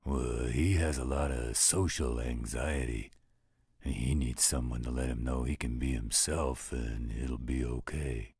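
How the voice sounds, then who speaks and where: A man playing a gruff, overprotective werewolf guardian in an audio roleplay, gravelly and husky, with a protective, fatherly manner. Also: very deep voice, steady tone, measured pace, darkish tone, no room sound, clean studio sound, exaggerated voice. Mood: reluctant, reflective. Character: gruff, overprotective male werewolf guardian, tender toward his ward.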